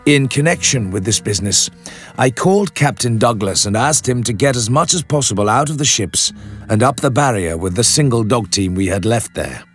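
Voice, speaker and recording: Serious guy actor narrating as an expedition leader, measured literary radio storytelling, music underneath. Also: mid-range voice, expressive, close to an everyday voice. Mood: measured, sober. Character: grave male expedition leader recounting a tale of dread. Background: background music.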